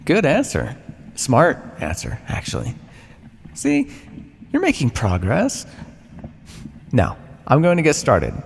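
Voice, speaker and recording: In a reverberant room with some ambient noise, a man acts out a male vampire captor speaks straight to the listener. His mid-pitched voice swoops widely and theatrically. He is condescending and teasing yet inwardly conflicted, with sighs and drawn-out words in ASMR roleplay style. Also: wildly swooping pitch, gravelly, exaggerated voice. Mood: smug, teasing.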